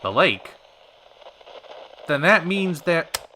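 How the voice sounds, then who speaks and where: Guy doing a folksy old-timey radio host, theatrical and genial, voice on the high side, bit of noise behind. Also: big pitch swings, drawn-out words, sharp tone, full character voice, rough recording. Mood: intrigued. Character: genial, showy male radio show host.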